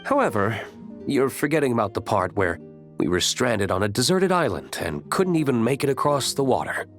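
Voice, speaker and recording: A man playing an aloof, brooding young man in a video game, speaking with dry, deadpan reluctance. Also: mid-range voice, normal speed, soft background music, natural voice. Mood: dry, matter-of-fact.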